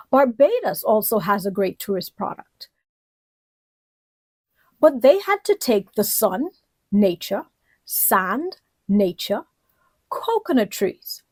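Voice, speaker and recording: A woman from the Bahamas delivers a lecture in a fairly high voice. She is deliberate and emphatic, leaning on key words and putting questions to the listener. The sound is dry, with very little room in it. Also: darkish tone, breathy edge, no echo. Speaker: political leadership lecturer. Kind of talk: instructional lecture to camera.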